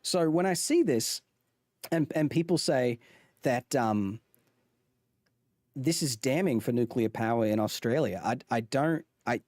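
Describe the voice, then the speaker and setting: A man's voice: medium pitch, animated, unhurried, pauses often, darkish tone, dry recording, studio quality. A man streaming to his chat, putting on a mock Australian accent as he rambles through a chart with lots of restarts. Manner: rambling, with frequent restarts and self-corrections. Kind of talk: casual livestream commentary on a chart.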